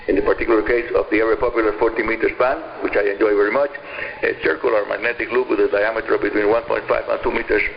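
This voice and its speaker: A man in his seventies with a Cuban accent and Spanish as his first language presents a radio program in a deliberate, emphatic way. His voice has a lot of gravelly fry in it. It comes through a muffled shortwave signal with faint noise underneath. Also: medium pitch. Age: 70s. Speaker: radio show host. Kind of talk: scripted shortwave radio hobby program narration. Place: radio broadcast heard over shortwave.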